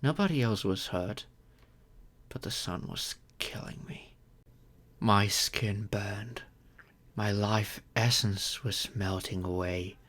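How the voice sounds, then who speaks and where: Courteous male vampire voiced by a man for ASMR audio drama: lowish pitch, very unhurried delivery, tender, grateful and gently formal. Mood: solemn, grateful. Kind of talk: slow intimate ASMR roleplay monologue.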